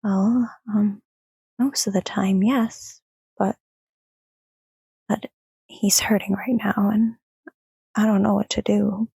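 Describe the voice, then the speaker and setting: A woman's voice: medium pitch, level tone, slow, creaky, long pauses, warm tone, husky, no room sound, exaggerated voice. A woman playing a thoughtful young daughter in an audio drama, speaking hesitantly and wistfully. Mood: hesitant, worried. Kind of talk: audio drama acting and narration.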